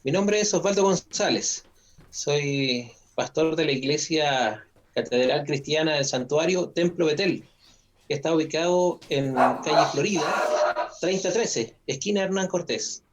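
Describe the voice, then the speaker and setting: A man, a pastor, telling his story as an interview guest in a measured way with fillers. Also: a little high, a little hiss or hum. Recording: dull lo-fi audio.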